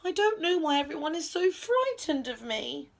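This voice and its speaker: A woman voicing a tiny, timid, gentle male monster in a children's story, meek and plaintive. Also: a little high, sing-song, normal speed, exaggerated voice.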